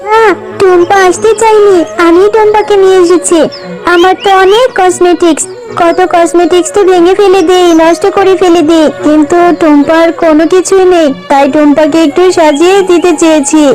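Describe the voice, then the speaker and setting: Extremely high, eager little girl. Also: fairly even intonation, moderate pace, over music, rough recording. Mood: protesting. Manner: childlike, eager. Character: generous, cheerful well-off little girl. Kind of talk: children's cartoon dubbing.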